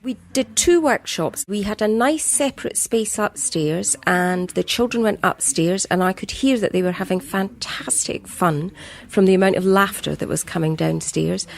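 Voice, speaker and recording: A woman's voice: mid-range voice, creaky. A woman recounting her experiences in an interview as a bookshop owner. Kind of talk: interview recollection.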